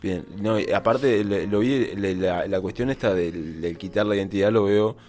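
A man's voice: on the low side, normal speed, a little mellow, faint music behind. A man co-hosting a casual talk show, talking hesitantly with many fillers and restarts.

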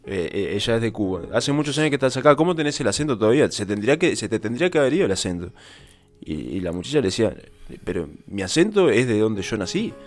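Hesitant low-voiced man. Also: big pitch swings, fast talker, faint music behind. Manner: hesitant, many fillers and restarts. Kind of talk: casual talk-show chat.

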